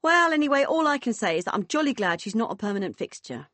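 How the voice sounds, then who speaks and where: A woman voicing a hearty, jolly middle-aged woman in an audiobook, with a booming, brisk manner. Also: sing-song, fairly bright tone, no room sound. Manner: booming, brisk, jolly posh turns of phrase.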